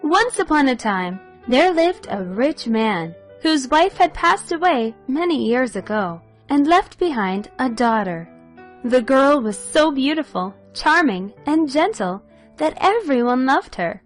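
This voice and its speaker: A woman storyteller with a high, very lively voice reads a children's fairy tale. She narrates expressively and takes her time, with music playing behind her. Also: unhurried.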